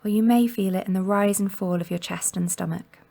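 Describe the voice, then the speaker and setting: Woman, meditation guide, gentle soothing delivery, mid-pitched, noticeable vocal fry, long pauses. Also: fairly even intonation. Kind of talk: guided body scan meditation narration.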